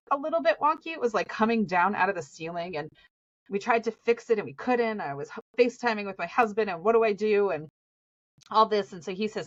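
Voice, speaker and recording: A woman's voice: mid-range voice, muffled recording. A sniffly woman in her forties with a Southern US accent, a podcast co-host chatting casually and laughing often as she tells stories. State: sniffly from allergies.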